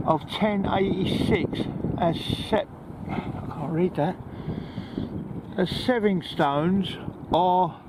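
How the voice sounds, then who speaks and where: A man chatting casually and a little hesitantly to camera as he walks outdoors in a park. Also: high-pitched. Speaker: vlogger. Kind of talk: casual chat to camera while walking. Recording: noisy outdoor recording with wind.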